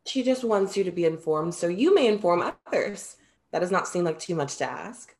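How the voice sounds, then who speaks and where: A young woman acts out a perceptive muse in a play reading. The voice is on the low side, with formal, measured phrasing and a frank, composed manner. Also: expressive, a little mellow. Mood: calm. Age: young adult. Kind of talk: virtual staged play reading. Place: small room at home, video call. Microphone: video call.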